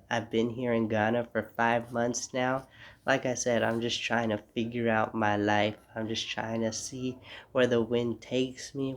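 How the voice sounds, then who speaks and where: A young man with a stuffy, congested nose from a cold hosts his own podcast from home. His voice is gravelly and fairly flat in pitch. He rambles reflectively and breaks off now and then to apologize. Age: young adult. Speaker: podcast host. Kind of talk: casual solo podcast monologue. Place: home room.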